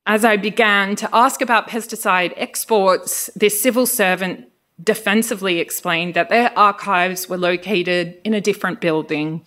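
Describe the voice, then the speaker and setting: A woman's voice: mid-range voice, fairly even intonation, a bit bright. Academic woman with an Australian accent. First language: Greek. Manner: measured, academic, with occasional fillers. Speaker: researcher giving a talk. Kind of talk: festival lecture, partly read. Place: lecture venue.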